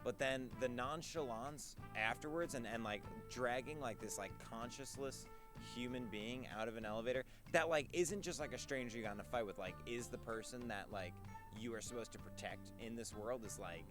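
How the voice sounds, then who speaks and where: A man's voice: mid-range voice, fairly quick. A man in his early twenties answering a street interview, rambling and heated, with many fillers and restarts. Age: 20s. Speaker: student interviewee. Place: outdoors on a college campus. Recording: music playing underneath.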